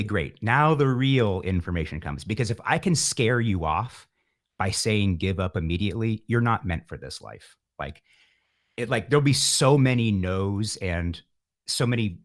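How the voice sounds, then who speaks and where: Male, middle-aged, low voice. Musician in interview, wry and philosophical, thinking out loud. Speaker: musician, podcast guest. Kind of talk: reflective interview talk.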